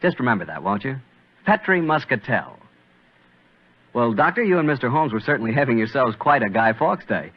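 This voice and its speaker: A man's voice: medium pitch, very animated, fairly quick. A man announcing and chatting as host in a 1940s sponsored radio style. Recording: muffled old radio broadcast.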